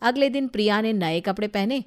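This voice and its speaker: A woman's voice: mid-range voice, lively intonation, a little fast, clean tone. A woman storyteller reading scripted narration for a family moral tale.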